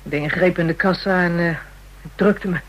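A woman's voice: on the low side, steady tone, a little fast, lightly acted, rough recording. A woman playing a bitter, hard-bitten young call girl in a radio drama, coarse and defiant.

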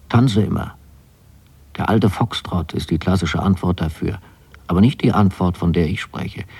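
Man doing a gravelly, domineering, cryptic older man. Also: low-pitched, warm tone, heavily acted. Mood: barking, absurd. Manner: bossy, rambling, declaiming, barked questions. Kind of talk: radio play monologue.

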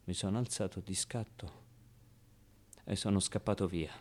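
A man performing a dramatic radio monologue as a streetwise, wounded ten-year-old orphan boy, telling his story in colloquial, halting speech. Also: low voice, darkish tone. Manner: colloquial, hesitant storytelling with repeated words. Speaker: actor-narrator. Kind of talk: dramatic radio theatre monologue in a child character's voice.

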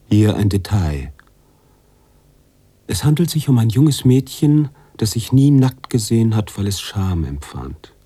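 An actor playing a weary, brooding writer who narrates a literary radio drama in a musing, halting, husky way. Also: male voice, on the low side, no room sound, heavily acted. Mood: musing, self-questioning. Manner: musing, halting, drops into hushed tones. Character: weary, brooding bearded male writer narrating his story.

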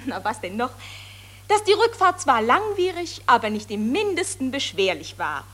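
An actress playing a gracious, effusive yet politely firm stage star in a 1940s radio play, with polished theatrical delivery. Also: female voice, high-pitched, sing-song, moderate pace, a bit bright, heavily acted.

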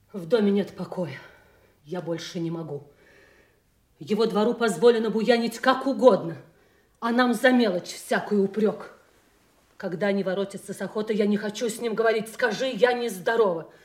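An actress playing an imperious, resentful duchess in a radio drama, giving orders with commanding impatience. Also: female voice, mid-range voice, a little mellow, lightly acted. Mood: irritated. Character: Goneril, imperious resentful duchess, king's hard daughter. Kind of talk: theatrical radio drama acting.

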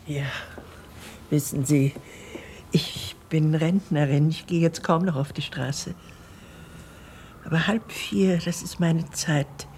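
A woman playing a courteous, habit-bound elderly retiree giving witness in a radio drama, hesitant and deliberate. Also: low voice, dark tone, slight background noise. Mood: precise, recollecting. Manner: polite, hesitant, deliberate storytelling.

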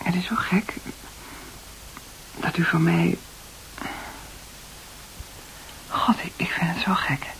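An actress playing a shy, modest, bewildered nurse in a Dutch radio play, speaking in a breathy, hesitant, halting way. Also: female voice, lowish voice, level tone, in a whisper, rough recording. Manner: hesitant, soft-spoken, halting.

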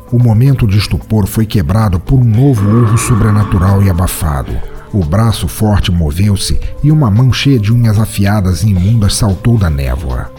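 A man giving dramatic, suspenseful audiobook narration. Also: on the low side. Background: soft background music.

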